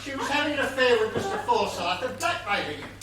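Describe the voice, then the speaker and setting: An actor playing a guarded gardener, a former soldier and murder suspect, in a stage whodunit. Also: male voice, very high-pitched, animated, fast talker. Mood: matter-of-fact. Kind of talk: amateur one-act stage play acting. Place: indoor theatre stage before an audience. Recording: echoey theatre from far, noisy.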